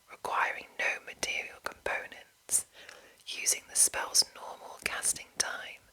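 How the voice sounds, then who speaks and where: A woman whispering in a breathy, unvoiced voice, softly and deliberately reading aloud as an ASMR host. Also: poor audio. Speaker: ASMR video host and narrator.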